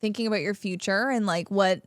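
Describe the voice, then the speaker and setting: A woman in her twenties co-hosts a podcast in an easygoing, thoughtful chat and softens her points with "I think." Her voice sits at a middle pitch, recorded dry and close. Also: fairly quick, dry recording. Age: 20s. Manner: relaxed, reflective, hedges with "I think". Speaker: podcast co-host. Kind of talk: casual chat.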